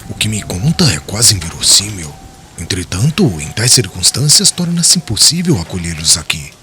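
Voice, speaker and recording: A male actor plays a haughty, reserved man in an audiobook drama. His voice is low but very sharp and forceful, with formal, cool phrasing over faint background noise. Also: very animated, moderate pace, heavily acted. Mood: cold, astonished. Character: Sadok, cold, reserved, self-important male friend turning someone away.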